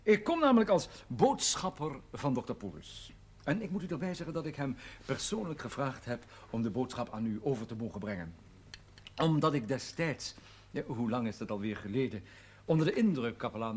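An actor playing a courteous, flattering, pompous gentleman envoy, speaking in formal, flowery sentences. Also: medium pitch, slight background noise, natural voice. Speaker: actor in a television drama series. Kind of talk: mannered television drama dialogue.

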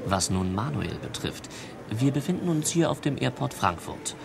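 A man's voice: mid-range voice, very animated, normal speed, gravelly, a crowd in the background. A man playing a wry, teasing narrator in a radio drama, florid and ironic, addressing the listeners.

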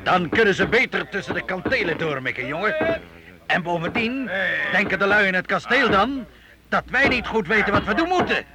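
Animated high-voiced medieval master, crowd behind. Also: male voice, moderate pace. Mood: eager explaining. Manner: animated, instructive, fatherly. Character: seasoned medieval master craftsman directing a siege, eager explainer. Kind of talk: Dutch radio drama acting.

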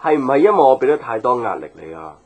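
A man's voice: mid-range voice, sing-song, fast. An actor playing a patient, devoted young man in love, earnest and reflective, in a Hong Kong Cantonese radio drama. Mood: affectionate. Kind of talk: radio drama dialogue and inner monologue. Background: background music.

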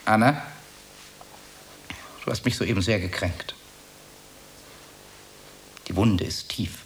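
An actor playing an earnest, pedantic bank clerk in love, hesitant and plaintive, repeating himself in a German radio play. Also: male voice, lowish voice, slow pace, creaky. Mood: wounded, pleading. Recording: steady background hiss.

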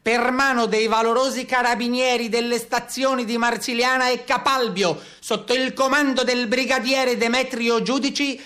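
An actor playing a stern town crier who declaims an official proclamation in a theatrical radio play. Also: male voice, extremely high pitch, sharp tone.

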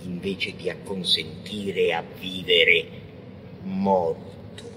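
An actor playing a frenzied, blasphemous visionary poet in an avant-garde radio monologue, declaiming theatrically and lurching between whispers and shouts. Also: male voice, sits low, flat delivery, drawn-out words, noisy background. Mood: grim, declaiming. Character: frenzied, blasphemous visionary poet ranting against God and man.

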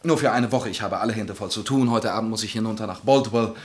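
An actor in a German radio play voicing a breezy, boastful film writer, chatty and exuberant. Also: male voice, on the low side, fast, close to an everyday voice. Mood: self-important. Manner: chatty, exuberant, laughing, name-dropping.

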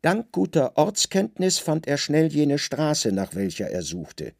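Gravelly man narrating a literary drama. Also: on the high side, sing-song, a little mellow, dry recording, clean studio sound. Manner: dramatic, deliberate storytelling in short phrases. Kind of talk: literary radio play narration.

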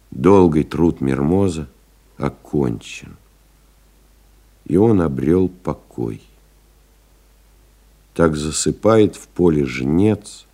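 Deep, unhurried, solemn pilot. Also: male voice, darkish tone, full character voice. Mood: mournful, resigned. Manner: reflective, solemn, measured, dramatic pauses. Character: pensive, solemn airmail pilot recalling lost comrades. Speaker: actor reading a literary memoir as narrator. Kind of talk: literary audio drama monologue.

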